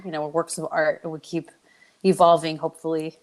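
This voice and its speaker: A woman's voice: sits low, little pitch movement, fairly quick. A woman hosting a podcast, interviewing and chatting in a casual, conversational style. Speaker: podcast host.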